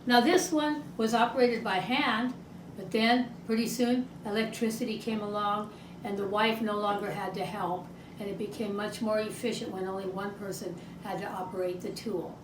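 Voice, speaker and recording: A woman's voice: medium pitch, fairly even intonation, moderate pace. A woman co-presenting a demonstration, explaining things in an informal, conversational way. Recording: echoey room with clear background noise.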